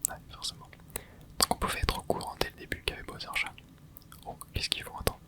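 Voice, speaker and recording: A host whispering casual ASMR commentary over gameplay, reacting excitedly and chatting to viewers. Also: quick pace. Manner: casual, chatty, self-correcting. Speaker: channel host.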